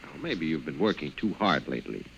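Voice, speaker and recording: An actor playing a self-important, placating male office boss in an old-time radio drama, gravelly and patronizing, with brisk authority. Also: very deep voice, big pitch swings, exaggerated voice. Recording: noisy vintage radio recording.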